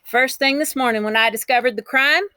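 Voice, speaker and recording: A woman's voice: high-pitched, fairly quick, sharp tone, clear, resonant tone, no echo. A woman voicing a spirited, boastful country child from a storybook, telling the tale in a folksy, emphatic, dramatic way. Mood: excited, indignant.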